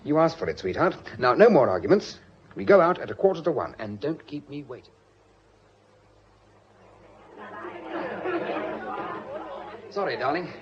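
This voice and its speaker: An actor playing a flippant, bossy, debonair gentleman with an upper-class English accent in a radio drama, breezy and sardonic. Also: a little high, sing-song, quick pace, a crowd in the background. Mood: breezy, commanding. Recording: dull, noisy radio drama recording.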